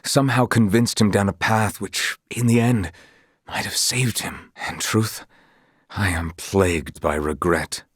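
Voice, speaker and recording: An actor playing an earnest, honorable young knight in a fantasy video game, speaking in formal, archaic, measured phrases. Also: male voice, fairly low pitch, slightly rough, no echo, clean studio sound. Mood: regretful, reflective. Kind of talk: dramatic video game voice acting.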